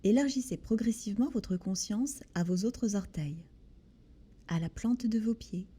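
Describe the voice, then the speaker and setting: Very soft, breathy woman guiding relaxation. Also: mid-range voice. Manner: soothing, gentle, deliberate pauses between short phrases. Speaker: guided relaxation instructor. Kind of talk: guided body scan meditation.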